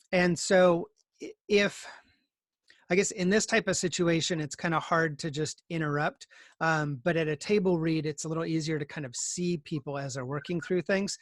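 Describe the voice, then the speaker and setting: Higher-pitched man teaching casually, dry sound. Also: no echo. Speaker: teacher leading a screenwriting class. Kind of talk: casual explanatory lesson. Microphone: video call.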